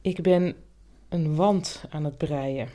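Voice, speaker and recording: Woman, slightly low voice, informal conversational podcast co-host. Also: slow pace, warm tone. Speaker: writing teacher. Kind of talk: casual chat. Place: indoors on a couch.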